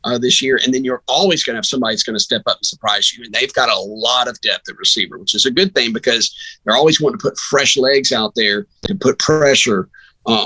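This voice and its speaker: Man, bright husky voice, casual sports analysis, storytelling broadcaster, dry close sound. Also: mid-range voice, dry recording, poor audio. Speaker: radio sports broadcaster, guest.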